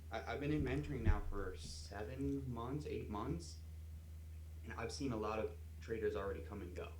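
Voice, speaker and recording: A man's voice: lowish voice, darkish tone, reverberant, a little hiss or hum, poor audio. A man in his thirties, an earnest trading mentor giving casual, encouraging advice in long run-on stretches. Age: 30s.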